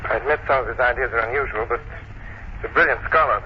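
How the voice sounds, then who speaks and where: A man plays a thoughtful, suave gentleman sleuth in old radio style. He talks quickly at a medium pitch, composed, on a dull recording. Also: slight background noise, lightly acted. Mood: calm. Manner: urbane, composed. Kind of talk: 1940s radio acting.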